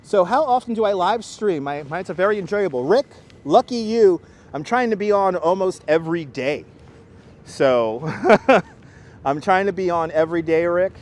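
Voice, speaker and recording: A man with a Brooklyn New York accent, chatting casually to live-stream viewers as a local guide, speaking clearly and deliberately. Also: high-pitched, expressive. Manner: clear, deliberate enunciation, rambling. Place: outdoors on city streets by the seaside. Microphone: wireless clip-on mic. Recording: outdoor urban street noise.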